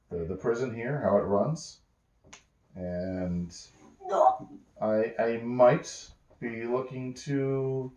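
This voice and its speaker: A man's voice: medium pitch, dark tone, voice pushed up. A man voicing a cautious, evasive schemer in a bedtime story, hesitant and roundabout, hinting at what is wanted. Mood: probing, cautious. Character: evasive, cautious male schemer probing for information. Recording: roomy, echoey home recording.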